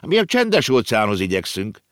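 A man voicing an eager, curious young boy who talks in excited exclamations in a storybook reading. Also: lowish voice, no echo, studio quality, pitched above the performer's own voice. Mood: puzzled.

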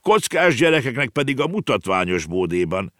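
A man's voice: medium pitch, big pitch swings, fairly bright tone, no room sound, studio quality, pitched above the performer's own voice. A man voicing a world-weary talking horse in a children's storybook, muttering and grumbling drily.

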